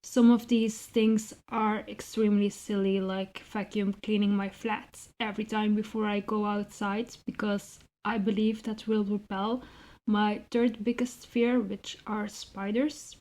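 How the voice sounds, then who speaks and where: A woman writer answering interview questions in a measured, articulate and reflective way with rather formal phrasing. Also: medium pitch, flat delivery, darkish tone. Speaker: interviewed writer and zine co-founder.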